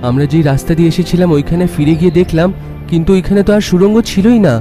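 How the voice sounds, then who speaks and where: A man's voice: fairly high pitch, very fast, over music, natural voice. A man playing a worried young companion in a horror cartoon, hesitant and respectful. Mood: uneasy. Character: worried young companion trapped in a haunted village. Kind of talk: animated horror cartoon acting.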